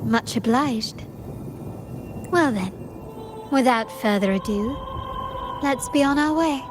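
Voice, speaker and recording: Fairly high female voice, enigmatic traveller, courteous, formal, game dialogue, music behind. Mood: courteous, knowing. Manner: polite, formal, deliberate. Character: courteous, enigmatic woman traveller with refined airs. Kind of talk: video game voice acting.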